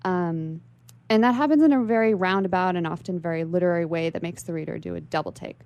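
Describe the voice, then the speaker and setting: A woman's voice: on the low side, fast talker, a little mellow, no room sound. A young woman as an interview guest, giving articulate, bookish explanations in long, carefully formed sentences. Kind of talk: prepared explanation in a radio interview. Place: radio station studio.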